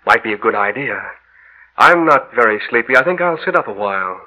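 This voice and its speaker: Cold-blooded poisoner for vintage radio horror: very bright, hard-edged voice, deliberate and gloating. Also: male voice, mid-range voice, lively intonation, low-quality recording. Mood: sly, calculating. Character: scheming, greedy, cold-blooded male chemist, a poisoner. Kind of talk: 1940s radio horror drama acting. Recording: old 1930s-40s radio broadcast recording.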